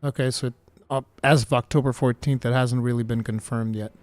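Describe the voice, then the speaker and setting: A man's voice: medium pitch, brisk, dry recording, soft background music. A Portuguese man with an American-sounding English accent, chatting casually as a streamer and reading viewer questions. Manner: excitable, laughs often, says dude a lot.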